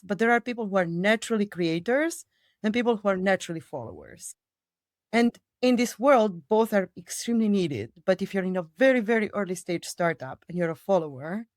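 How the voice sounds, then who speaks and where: A woman's voice: medium pitch, darkish tone, no room sound, studio quality. A middle-aged woman from Romania, her native language Romanian, answering interview questions at length, with emphatic repetitions and long digressions. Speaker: people operations director, guest.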